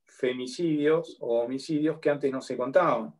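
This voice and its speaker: A man in his thirties with an Argentine accent, lecturing law students online and often checking in with a quick question. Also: medium pitch, a little mellow, low-quality recording. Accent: Argentine Spanish. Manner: explanatory, checks in with a frequent "¿sí?". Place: home room with bookshelf. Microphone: laptop webcam on a video call.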